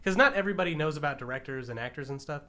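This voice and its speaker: A man's voice: on the high side, animated, very fast, bright, forceful tone. A man talking casually about movies as a panelist on a podcast. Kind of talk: casual movie review chat.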